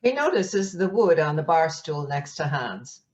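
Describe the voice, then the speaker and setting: A woman's voice: sits low, sing-song, unhurried, darkish tone. A woman narrator reading scripted stage directions for a play, on a dull lo-fi recording. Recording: dull lo-fi audio.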